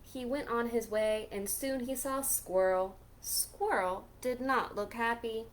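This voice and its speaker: A woman hosting a children's storytime, reading a picture book aloud in a deliberate, expressive way. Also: fairly high pitch. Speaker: children's librarian storytime host.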